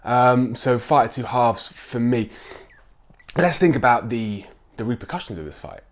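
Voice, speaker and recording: A man with a croaky voice from a chest infection, chatting casually about upcoming fights and filling his talk with ums and restarts. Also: mid-range voice, expressive, a little slow. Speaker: sports vlogger. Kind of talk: casual chat to camera.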